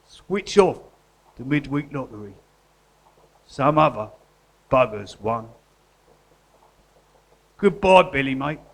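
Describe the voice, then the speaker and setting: An actor playing a matey, sentimental guerrilla artist convict in a live comedy radio drama. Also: male voice, mid-range voice, animated, slow pace, gravelly, long pauses, exaggerated voice, rough recording. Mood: mock-solemn. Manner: matey, colloquial, earnest.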